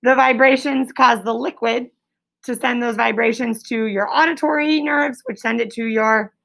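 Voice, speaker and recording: Her voice sits high for a woman and has a noticeable fry to it. She speaks as a schoolteacher running an interactive lesson, explaining simply and prompting her pupils with questions in an encouraging manner. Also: steady tone, moderate pace, a bit bright. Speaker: schoolteacher leading a class.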